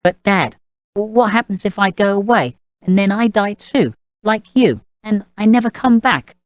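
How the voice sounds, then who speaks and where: A woman's voice: mid-range voice, animated, measured pace, no room sound, lightly acted. A woman playing an earnest six-year-old boy in an audio drama, with a childlike, questioning manner. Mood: worried, puzzled.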